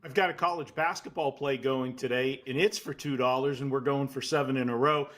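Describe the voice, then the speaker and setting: A man's voice: medium pitch, steady tone, brisk, fairly bright tone. A man from Pittsburgh with a Pittsburgh accent, a guest handicapper giving confident, matter-of-fact betting analysis.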